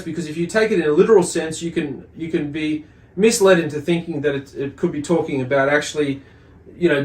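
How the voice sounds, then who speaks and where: A man hosting a religious study lesson, explaining and reading commentary in a halting, deliberate way with pauses and repeated words. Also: fairly high pitch. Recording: echoey room sound.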